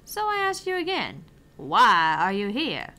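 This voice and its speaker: Woman voicing animated, bright older arcade boss lady. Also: a little high, measured pace, heavily acted. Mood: earnest, probing. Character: eccentric older female arcade owner interviewing applicants, deadpan, earnest. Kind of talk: reading visual novel dialogue aloud.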